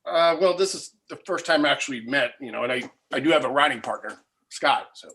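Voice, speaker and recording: A man's voice: mid-range voice, animated, a little fast, pushed and bright. A man playing an uneasy, easily offended screenwriter of about forty, stammering awkwardly in a comedy table read. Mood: polite, uneasy.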